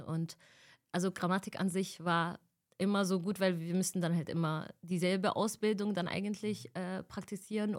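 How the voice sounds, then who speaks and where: A woman's voice: on the low side, a little mellow. Chatty young woman from Egypt. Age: 20s. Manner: chatty, many fillers, laughs often. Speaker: interviewee, student. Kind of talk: casual interview chat.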